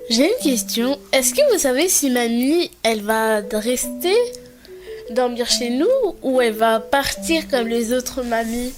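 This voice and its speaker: A woman voicing an outspoken, inquisitive little girl in an animated film. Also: fairly high pitch, a little slow, over music, natural voice.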